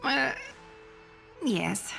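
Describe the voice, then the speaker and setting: An actress playing a solemn, dignified noblewoman weaver in a fantasy game, speaking softly in measured, formal phrases. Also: a little high, expressive, gravelly, heavily acted. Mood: weary, solemn. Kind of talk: scripted game voice acting. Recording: long reverb, music behind.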